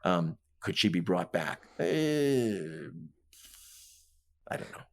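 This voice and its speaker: A man's voice: deep voice, sing-song, slow, vocal fry. A man speaking as a guest author on a podcast, discussing literature conversationally and emphatically, often checking in with "right?" and voicing imagined dialogue as he explains. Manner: emphatic, punctuates points with "right?".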